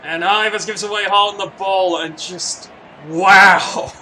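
A man calling a video game football match as play-by-play commentator, building to shouts at big plays. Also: high voice, a little slow, slight background noise, rough recording. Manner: excitable, calls plays and shouts at big moments.

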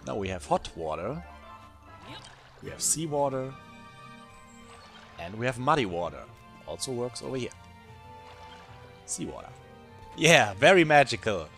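A man with a German accent explaining game tips in a relaxed, chatty voice-over, with dry little asides. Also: mid-range voice, a little slow, gravelly, long pauses, faint music behind. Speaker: gaming YouTuber.

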